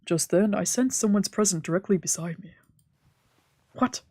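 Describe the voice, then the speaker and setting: A woman streamer reading a visual novel aloud in expressive, dramatic narration with casual asides. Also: medium pitch, normal speed, no room sound. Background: game background music.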